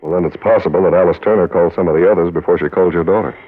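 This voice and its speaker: An actor playing a brisk, businesslike police captain in a radio crime drama, curt in his questioning. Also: low-pitched, fairly even intonation. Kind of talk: 1950s American radio crime drama acting. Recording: thin, phone-like vintage radio recording.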